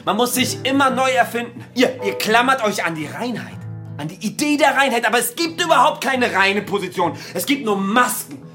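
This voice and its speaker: A woman's voice: on the high side, lively intonation, normal speed, fairly bright tone, faint music behind. A woman playing a provocative young activist in a radio play, speaking in an emphatic, declamatory way. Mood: provocative, fervent. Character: provocative, fervent young underground activist preaching reinvention and masks.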